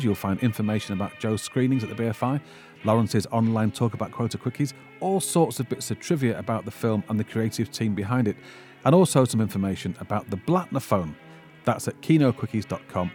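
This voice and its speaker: A man's voice: fairly low pitch, darkish tone. A man hosting a film podcast, talking to guests and to listeners. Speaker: podcast host and producer. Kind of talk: Q&A chat and scripted narration.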